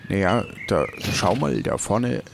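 A man playing a helpful, naive gatekeeper in an improvised pirate audio play, talking in a casual, halting way. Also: on the low side, animated, vocal fry. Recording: faint background noise.

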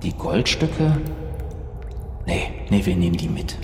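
Animated man role-playing in an echoey space. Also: deep voice, slow, gravelly. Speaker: role-playing game player. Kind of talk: improvised in-character tabletop play. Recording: echoey, reverberant, faint background noise.

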